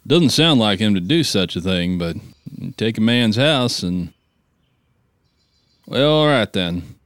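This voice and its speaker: An actor playing a plain-spoken small-town police officer of the 1890s, measured and folksy, in a screenplay drama. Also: male voice, fairly low pitch, lively intonation, a bit bright, dry recording, clean studio sound. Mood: resigned. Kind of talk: acted screenplay reading.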